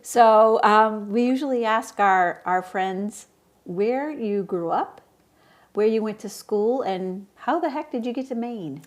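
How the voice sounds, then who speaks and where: A woman's voice: medium pitch, slow pace. Friendly woman hosting a library chat. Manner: friendly, encouraging, many fillers. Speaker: host of library interview show. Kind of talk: casual interview.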